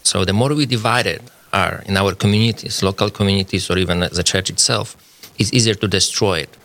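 A man's voice: low-pitched, steady tone, fairly quick, creaky, a bit bright. A man in his forties with a Polish accent, speaking English as a second language as an earnest radio guest who searches for words. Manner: earnest, searching for words, non-native phrasing. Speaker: guest, scientist. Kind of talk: radio studio conversation. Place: radio studio.

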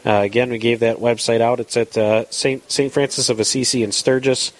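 A man's voice: mid-range voice, steady tone, brisk, rough recording. A man hosting a live radio talk show from a coffee shop, talking in a rambling way with many fillers. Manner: rambling, filler-heavy, friendly. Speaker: radio host.

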